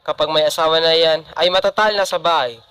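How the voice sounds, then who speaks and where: A man's voice: on the high side, fairly even intonation, fairly quick, sharp tone. A man playing an indulgent, protective middle-aged father in an amateur radio drama, with a Filipino accent. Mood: defensive, scolding. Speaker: student radio drama actor.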